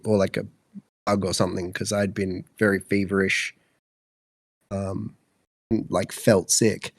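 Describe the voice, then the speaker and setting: A man's voice: on the low side, a little mellow, no echo, studio quality. A man in his twenties with an Australian accent, co-hosting a podcast, sounding congested and fluey, rambling with many fillers. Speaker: podcast co-host. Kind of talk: casual chat.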